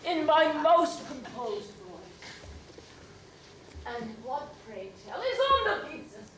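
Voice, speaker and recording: A woman's voice: high voice, big pitch swings, takes it slowly, a loud crowd, exaggerated voice. A woman performing a comic monologue as a prim elderly widow with genteel, mannered speech and frequent laughter. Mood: prim, giggly. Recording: roomy, distant mic with background noise.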